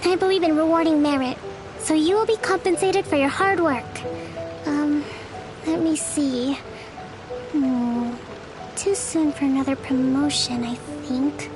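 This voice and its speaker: A woman's voice: sits high, unhurried. A woman playing a courteous, thoughtful young military leader in an anime video game, with polite, formal phrasing. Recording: voice over game music.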